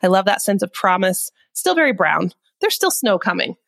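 A woman's voice: mid-range voice, sing-song, moderate pace, vocal fry, a bit bright, dry recording, studio quality. A woman podcast host with a head cold, talking in a nasal, upbeat and chatty way to her listeners. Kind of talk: casual podcast chat.

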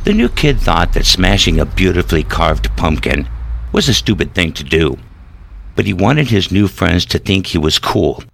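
A man reading his own story as scripted audiobook narration, in a deliberate, dramatic storytelling manner. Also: low-pitched, very animated, creaky, fairly bright tone. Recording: music bed under narration. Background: music.

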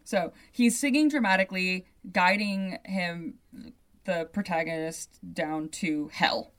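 Deep-voiced young woman telling a story. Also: takes it slowly, fairly bright tone. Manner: chatty, loose, lots of "like" asides. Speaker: podcast host. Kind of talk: casual storytelling chat.

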